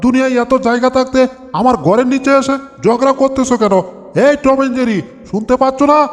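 Scolding high-pitched cartoon bulldog, done by a man. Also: fairly even intonation, quick pace. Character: gruff scolding male cartoon bulldog, territorial. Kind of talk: Bengali comic cartoon dub. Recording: long reverb over background music.

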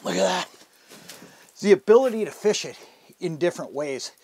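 Higher-voiced middle-aged man, folksy fishing host. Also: lively intonation, normal speed, vocal fry, a little mellow, slightly rough. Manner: folksy, enthusiastic, conversational. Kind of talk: casual instructional fishing commentary. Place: inside a fabric ice-fishing shelter.

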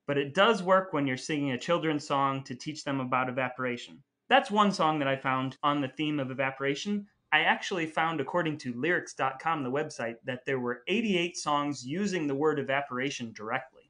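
A man's voice: medium pitch, normal speed, hardly any pauses, pushed and bright. A man co-hosting a podcast, chatting casually in a playful, jokey way. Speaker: podcast co-host.

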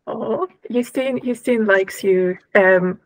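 A woman's voice: medium pitch, takes it slowly, no room sound, poor audio. Casual woman in a roleplay game. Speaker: tabletop roleplay player. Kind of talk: casual in-character tabletop roleplay chat. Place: indoors on a video call.

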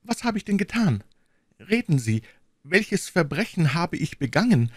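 A man's voice: a little high, big pitch swings, measured pace, husky, no room sound, clean studio sound, pitched above the performer's own voice, heavily acted. A man voicing a frightened young queen who pleads and stammers in an audiobook reading. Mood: pleading. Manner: trembling, stammering pleas.

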